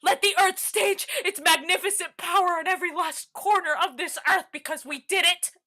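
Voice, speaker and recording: A woman acting a bitter, defiant prisoner in a theatrical staged reading, profane and impassioned, swinging from muttering to shouting. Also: very high-pitched, steady tone, moderate pace. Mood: raging despair. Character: bitter, defiant imprisoned woman facing the end of the world.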